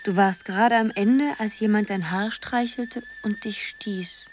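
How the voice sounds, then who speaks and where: Woman acting dreamy wistful young lady drifting somewhere surreal, radio play, musing and halting, some noise behind. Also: medium pitch, fairly even intonation, natural voice. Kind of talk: poetic radio drama monologue.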